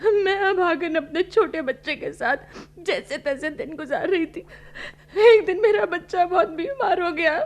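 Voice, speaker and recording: A woman's voice: extremely high pitch, measured pace. An actress playing an anguished widowed housewife and mother in a radio play, with a pleading, melodramatic delivery. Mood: sorrowful recounting. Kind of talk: Hindi radio play acting.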